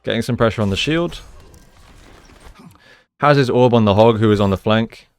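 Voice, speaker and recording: A man giving gameplay analysis commentary as a coach, explaining in a measured way and repeating phrases for emphasis. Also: fairly low pitch, smooth. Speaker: esports coach, video host.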